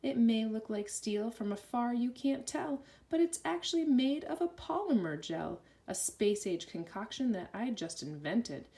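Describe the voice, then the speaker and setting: A woman reading a rhyming children's story aloud with an expressive, sing-song delivery. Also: a little high, a little slow, vocal fry, hardly any pauses.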